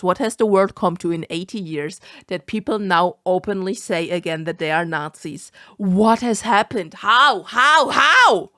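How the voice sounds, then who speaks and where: A woman's voice: on the low side, a bit bright, dry recording, studio quality. A young woman with a German accent reacting to a video in casual commentary, incredulous and swearing often.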